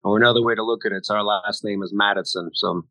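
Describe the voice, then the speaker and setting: Male founder from Singapore, bright forward voice on the low side, casual interview talk. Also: fairly even intonation, fast, no room sound. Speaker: company founder, interview guest.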